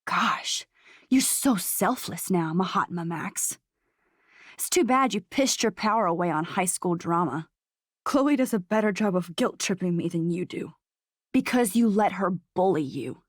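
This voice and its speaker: An American woman voicing a scornful, taunting dark double of a teenage girl in a video game, sneering and sarcastic. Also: on the low side, animated, measured pace, dry recording, clean studio sound. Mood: mocking.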